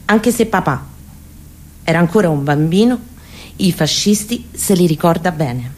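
A woman with a Roman accent performing a dramatic personal monologue, expressive and intimate, with deliberate phrasing. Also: on the low side. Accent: central Italian, Roman. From near Rome, Italy. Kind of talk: dramatic autobiographical storytelling monologue.

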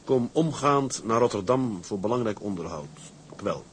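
An actor playing a proud, determined sea captain in a Dutch period radio drama, curt and commanding. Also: male voice, on the low side, animated, unhurried, slight background noise. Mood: grim resolve.

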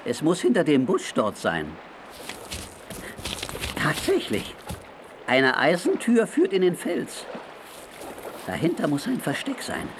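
A man's voice: a little high, huge pitch swings, slow pace, long pauses, noisy background, full character voice. A man playing a feisty, boastful cartoon sailor in a comic children's radio play, exclaiming and thinking aloud to himself. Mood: determined.

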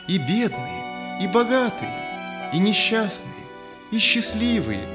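A man narrating a scripted children's audio story in an expressive, dramatic manner. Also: high-pitched. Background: background music.

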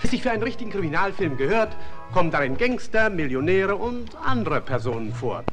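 A man's voice: sits high, big pitch swings, fairly quick, music in the background. A man announcing a 1950s film trailer in a showy, emphatic way, on an old soundtrack.

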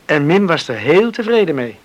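A man's voice: on the high side, big pitch swings, normal speed. An actor playing an eager, caring young countryman in a Dutch radio drama, speaking earnestly and solicitously. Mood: tender, caring.

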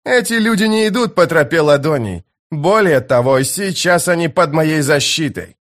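Man as a commanding tusked giant archer, raised pitch. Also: expressive, normal speed, lightly acted. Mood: firm warning. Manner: commanding, declamatory. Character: very tall inhuman tusked male archer, commanding protector. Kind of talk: audiobook fantasy character dialogue.

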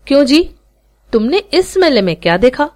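A woman storyteller voicing a curious, kindly gentleman who asks questions and makes invitations in an inquisitive way. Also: sits high, sing-song, moderate pace, voice pushed up.

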